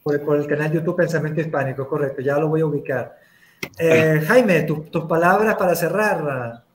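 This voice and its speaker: A man's voice: on the high side, normal speed. A man hosting a video call debate, moderating in a friendly, hospitable way. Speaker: host.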